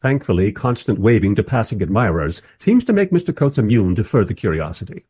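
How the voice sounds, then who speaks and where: An actor playing a mild, polite time-travelling interviewer who narrates his story wryly in a radio play, with a husky voice. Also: lowish voice, big pitch swings, fairly quick, a little mellow. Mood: polite, dry. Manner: wry, polite. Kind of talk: first-person radio play narration and dialogue.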